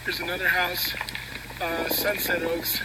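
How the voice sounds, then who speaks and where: A man reporting live, describing the scene haltingly as a news reporter. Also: high-pitched, completely flat delivery. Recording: very noisy scene, loud engine and vehicle noise.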